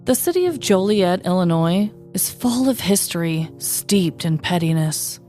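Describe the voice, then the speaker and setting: Host reading a comedic true-crime script, low-pitched woman, dry wit, soft music bed. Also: animated, a little slow. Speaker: podcast host and storyteller.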